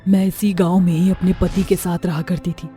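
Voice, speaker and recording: A woman's voice: lowish voice, level tone, normal speed, warm tone, soft background music, close to an everyday voice. A woman voicing a vengeful, sorrowful witch ghost in a horror story, with a dramatic, threatening delivery. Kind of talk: Hindi horror story voice acting.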